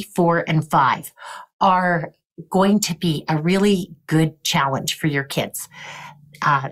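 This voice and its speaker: An older woman music educator leading a webinar demonstration, her voice croaky, often clearing her throat and counting beats aloud. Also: low voice, low-quality recording.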